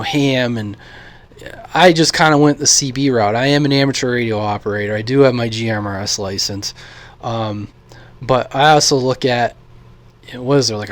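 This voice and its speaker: Male hobby vlogger from the US, mid-pitched, casual and halting, dry room sound. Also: dry recording. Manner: rambling, halting, thinking aloud. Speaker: radio hobby YouTuber. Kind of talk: casual unscripted vlog talk.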